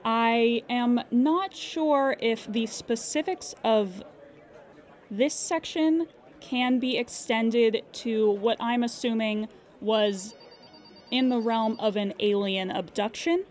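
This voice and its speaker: A woman plays a diplomatic claims agent in an audio drama. Her voice sits fairly high and she talks slowly, choosing formal words with care. She stays courteous and measured. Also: a little mellow. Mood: businesslike. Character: polite, careful woman claims agent at supernatural insurer. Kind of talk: improvised audio drama customer-service call.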